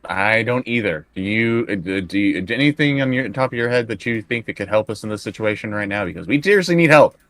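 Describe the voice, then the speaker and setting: A man playing a tabletop role-playing game, talking casually and haltingly as he works out his moves. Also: on the low side, expressive, a bit bright, dry recording. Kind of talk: casual improvised game play.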